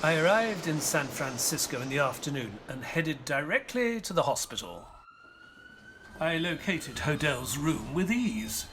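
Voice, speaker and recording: An actor playing an elderly retired doctor and author in an audio drama, narrating in a measured, solemn, wistful way. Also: male voice, a little high, sing-song, noisy background. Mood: reflective, solemn. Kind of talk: dramatic first-person scripted narration.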